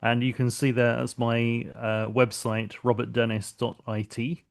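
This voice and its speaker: A middle-aged man from London speaks with a British accent as he leads an online lesson. He talks steadily and patiently, often pausing with an um. He sounds like he is speaking into a computer microphone on a video call. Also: medium pitch, creaky. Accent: British English, London. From London, England. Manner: steady, patient teaching with frequent ums. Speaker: business English teacher.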